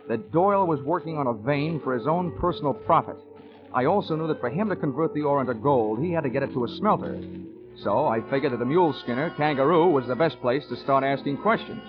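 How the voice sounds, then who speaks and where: A man's voice: fairly high pitch, fairly quick, lightly acted. Man playing a wry American radio detective, muffled sound. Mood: wry narrating. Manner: wry, deadpan. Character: wisecracking, world-weary male insurance investigator. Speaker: lead actor narrating and playing detective. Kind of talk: 1940s radio acting, hard-boiled narration. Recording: muffled old radio broadcast with music. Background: music.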